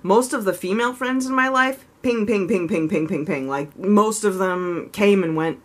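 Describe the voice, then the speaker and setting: A woman vlogging casually and frankly about herself, rambling with lots of "like" and "you know", her voice low and lifted a little above its natural register. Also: moderate pace. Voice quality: low voice she raises slightly higher than natural. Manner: frank, self-reflective. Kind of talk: casual confessional vlog.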